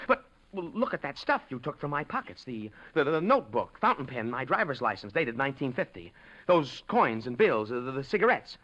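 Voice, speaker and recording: A man's voice: mid-range voice, very animated, brisk, pushed and bright. A man acting in a 1950s radio drama, playing an earnest young time traveler who speaks in hesitant, exclaiming bursts. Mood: flustered, insistent. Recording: muffled old radio recording.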